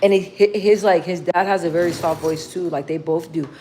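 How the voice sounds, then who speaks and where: A woman with a deep, bassy voice, casually chatting with viewers on a livestream. She rambles, reads comments aloud and swears freely. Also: steady tone, brisk, warm tone, slight background noise, rough recording. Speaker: livestream host.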